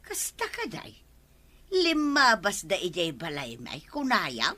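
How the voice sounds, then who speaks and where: A woman's voice: lowish voice, huge pitch swings, slow pace, sharp tone, exaggerated voice. A woman with an Ilocano Filipino accent playing a nosy, nagging village wife in a radio comedy drama. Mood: nosy, surprised.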